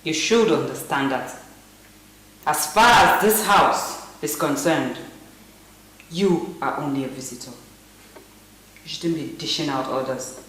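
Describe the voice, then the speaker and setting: Defiant feminist: emphatic scolding, indignant, melodramatic, strongly reverberant room. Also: female voice, a bass voice, takes it slowly, pauses often. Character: defiant, indignant feminist woman protecting her gender. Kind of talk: film melodrama acting. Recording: very echoey room, faint noise.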